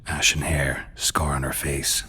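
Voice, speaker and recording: A man with an American accent playing a gruff, stoic, world-weary monster hunter in a video game, husky and deadpan in clipped phrases. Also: a little slow, heavily acted. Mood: terse musing. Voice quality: gravelly, husky. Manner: clipped, deadpan, low-key.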